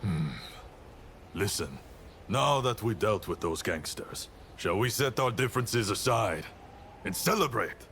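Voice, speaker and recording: Bright-voiced, boisterous samurai. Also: male voice, on the low side, animated, a little slow, a little hiss or hum. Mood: grudging respect. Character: boisterous, grudgingly respectful samurai warrior, fond of drink. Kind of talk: dramatic video game dialogue.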